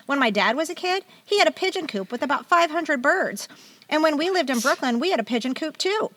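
Animated, bright-voiced middle-aged American woman. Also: high-pitched, fairly quick. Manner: animated, chatty, wry commentary. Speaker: podcast co-host. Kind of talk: casual chat with read-aloud magazine excerpts.

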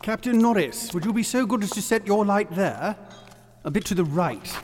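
High-voiced, commanding expedition leader. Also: male voice, lively intonation, moderate pace, darkish tone, soft background music. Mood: businesslike. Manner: precise, authoritative. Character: composed, commanding British knight leading an archaeological survey. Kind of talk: radio drama acting. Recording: long echoing reverb.

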